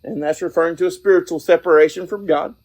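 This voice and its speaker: A man with a slightly high voice speaks as a podcast host and lay preacher. His delivery is folksy and earnest, and he often halts and picks his way through the thought as he talks outdoors. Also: steady tone, quick pace, darkish tone. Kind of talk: informal evangelistic talk.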